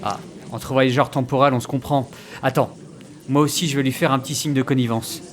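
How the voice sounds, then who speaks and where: A man playing a naive, excitable time-traveling new recruit in a French audio comedy, with a flustered, stammering delivery. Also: medium pitch, a little fast, close to an everyday voice. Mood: excited.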